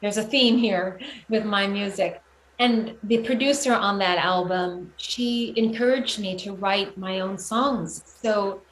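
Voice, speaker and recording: A middle-aged woman giving reflective, earnest interview answers about her own career, often halting and rephrasing as she goes. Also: a little high. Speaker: guest, children's music performer and teacher. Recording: roomy echo, clear background noise.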